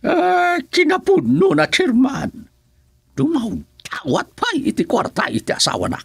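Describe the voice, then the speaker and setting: A woman's voice: high-pitched, wildly swooping pitch, unhurried, creaky, no echo, clean studio sound, heavily acted. A woman playing an aggrieved parent-in-law, whining and pleading dramatically in a comedic radio drama.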